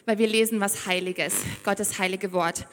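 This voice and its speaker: A woman's voice: medium pitch, fairly even intonation, normal speed. A young woman from Siberia preaches a sermon on a church stage. She speaks in an effusive, heartfelt and enthusiastic way and reads aloud from the Bible. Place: church stage before a congregation. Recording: reverberant church hall.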